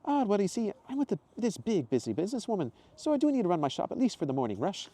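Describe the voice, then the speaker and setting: A man voicing a bubbly, eccentric woman shopkeeper in her thirties, loud and gushing. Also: high-pitched, huge pitch swings, quick pace, voice pushed up, full character voice.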